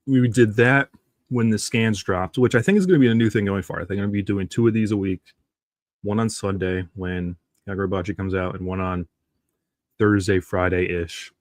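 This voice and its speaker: A man's voice: low voice, no room sound, studio quality. A man with a stuffy nose from the flu, chatting casually and rambling on a live stream. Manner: rambling, chatty, reads comics aloud.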